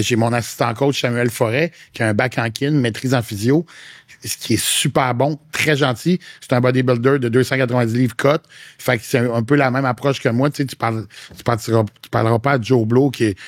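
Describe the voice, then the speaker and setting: A man with a Québécois accent speaks in a mid-range voice, frank and animated, a fitness coach chatting casually as a guest. He drops English slang into his talk. The sound is dry and close. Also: medium pitch, a little fast, no room sound. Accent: Québécois French. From Quebec. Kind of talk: casual interview chat.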